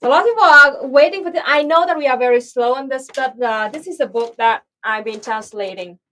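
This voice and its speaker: A young woman with a Vietnamese accent, chatting casually as the host of a livestream. Her voice sits a little high. She talks in halting bursts and stops often to find the right word. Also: bright, forceful tone. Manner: halting, searching for words.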